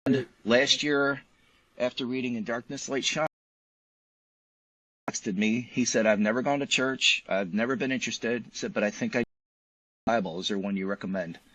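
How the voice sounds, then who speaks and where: A man's voice: medium pitch, moderate pace, long pauses, fairly bright tone, dry recording, poor audio. A man speaking conversationally as an author and interview guest. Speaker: novelist guest.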